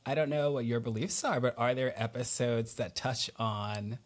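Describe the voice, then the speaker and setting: A man's voice: mid-range voice, normal speed. A man hosting a podcast in casual interview style, searching for his words as he talks.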